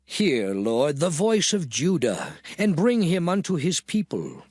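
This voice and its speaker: Slow, grave old Moses. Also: male voice, on the high side, huge pitch swings, darkish tone, no room sound, clean studio sound, heavily acted. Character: Moses, an old prophet addressing his people. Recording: music clearly underneath.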